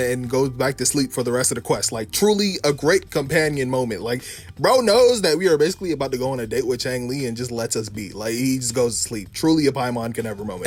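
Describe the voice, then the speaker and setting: A man giving a casual, slangy recap of a video game story, swearing freely and gushing like a fan. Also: mid-range voice.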